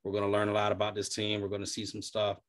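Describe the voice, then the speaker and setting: A young man talking casually on a video call from a small room, relaxed and loose, filling his talk with "you know". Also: lowish voice, fast. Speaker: podcast panelist. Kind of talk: casual chat. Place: small room at home, indoors. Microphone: webcam on video call.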